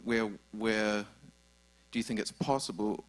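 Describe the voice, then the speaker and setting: A man's voice: on the low side, fairly even intonation, unhurried. A man with a New Zealand accent asking a question from the audience, hesitant and full of restarts. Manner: hesitant, many restarts and fillers. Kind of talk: live question from the floor.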